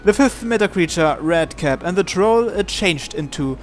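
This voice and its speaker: やや高めの声で朗読する男性. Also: male voice, sits high, lively intonation, normal speed, a little hiss or hum. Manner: stumbles and repeats words while reading. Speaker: gamer translating a visual novel aloud. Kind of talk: live read-aloud narration in English. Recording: faint music behind the voice.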